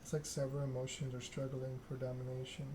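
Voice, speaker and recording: Male ASMR game reader, measured and hushed, very even intonation, noticeably noisy recording. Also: mid-range voice. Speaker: video game player reading the story aloud. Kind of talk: ASMR game read-along, narration with dialogue.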